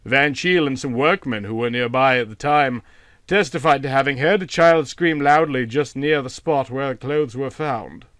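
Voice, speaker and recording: A man narrates a literary short story in an audiobook style. His voice sits at a medium pitch, recorded very dry with no room around it. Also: a bit bright, no room sound.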